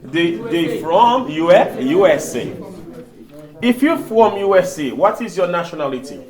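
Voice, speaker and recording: A man with a fairly high voice and a Haitian Creole accent teaches a language class. A native Creole speaker, he works interactively, repeating short phrases and putting questions to the students. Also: expressive, measured pace, darkish tone.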